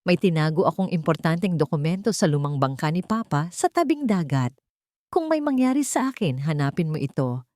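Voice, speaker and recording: A woman's voice: low voice, big pitch swings, fairly quick, darkish tone, no echo. A woman narrator plays a frightened young Filipino woman confiding in her diary. She sounds earnest and uneasy, in the style of an audiobook drama. The recording is close and dry. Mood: fearful. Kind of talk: dramatic journal reading in audiobook.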